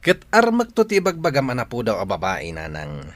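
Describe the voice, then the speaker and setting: Swaggering, animated jealous son. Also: male voice, medium pitch, a little fast, no room sound. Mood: boastful. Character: boastful, jealous grown son, scornful of his rival. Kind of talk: Philippine radio drama acting.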